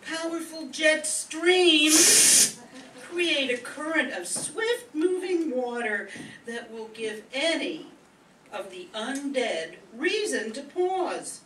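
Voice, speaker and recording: Woman acting an animated high-pitched eager neighbor. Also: a little hiss or hum, full character voice. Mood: enthusiastic, selling. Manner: emphatic, drawn-out pitchwoman delivery. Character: eager salesy female next-door neighbor. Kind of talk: comic radio-commercial acting. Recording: echoey stage, distant mic.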